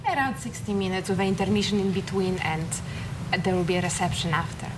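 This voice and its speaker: A woman pianist from Poland, calmly explaining a recital program as a TV studio guest, with slightly phrasing. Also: medium pitch, quick pace, noisy background.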